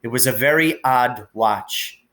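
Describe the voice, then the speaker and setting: A man's voice: medium pitch, a little slow, pushed and bright. A man narrating a children's novel aloud as a storyteller. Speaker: reader, storyteller.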